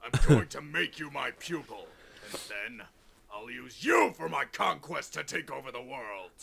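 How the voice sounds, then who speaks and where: A man's voice: medium pitch, animated, unhurried, vocal fry, crowd noise. A man voicing a blunt, pompous, mocking authority figure in a cartoon parody dub. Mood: menacing, mocking.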